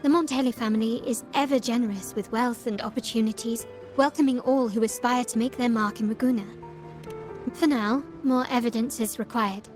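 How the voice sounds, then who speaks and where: An actress playing a poised young heiress of a crime family in a video game, speaking formally and precisely. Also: female voice, fairly high pitch, steady tone, fairly quick, natural voice. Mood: pensive, analytical. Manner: composed, formal, articulate. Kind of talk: scripted video game dialogue. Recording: music behind.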